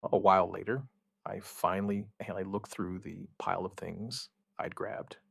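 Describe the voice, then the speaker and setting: A man playing an outbreak survivor who gives a wry, weary witness account in an audio drama, in short, halting, understated phrases. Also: low voice, fairly even intonation, gravelly, breathy edge, dry recording, studio quality, exaggerated voice. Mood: tense, dry. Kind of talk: scripted first-person audio drama narration.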